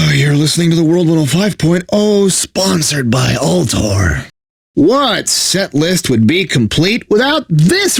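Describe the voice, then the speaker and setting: A man's voice: on the high side, slightly rough, dry recording, studio quality, exaggerated voice. An actor playing a bitter, sardonic video game radio DJ who resents his corporate bosses, mixing station patter with theatrical despair.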